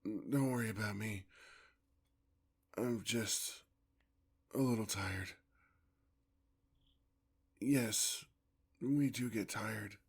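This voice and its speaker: A man playing a weary, tender, courtly male vampire in an ASMR roleplay, with a husky, gravelly voice and formal, old-fashioned speech. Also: lowish voice, drawn-out words, long pauses, exaggerated voice.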